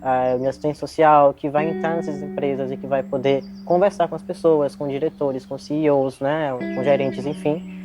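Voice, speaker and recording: A man's voice: on the high side, fairly even intonation, normal speed, over music. A man in his twenties with a Bahian Brazilian accent, giving a reflective, articulate interview answer in a spontaneous way, often adding little tag words. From Salvador, Bahia, Brazil. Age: 20s. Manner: reflective, articulate, frequent "né" tags. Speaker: interviewee, psychologist and DJ.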